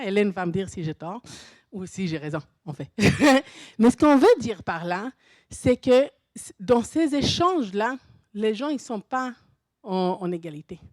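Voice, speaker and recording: A woman's voice: medium pitch, darkish tone, no room sound, studio quality. A middle-aged university professor, a woman speaking French with a foreign accent as a non-native speaker, giving a conference presentation and thinking aloud with restarts and light laughs. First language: not French.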